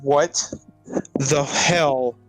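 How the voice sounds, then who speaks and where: A man's voice: fairly high pitch, fairly even intonation, very slow, music in the background, rough recording. A man voicing an angry orphaned teenage boy who is blunt, insistent and accusatory. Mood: angry, demanding. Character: angry, demanding orphaned teenage boy confronting his friend.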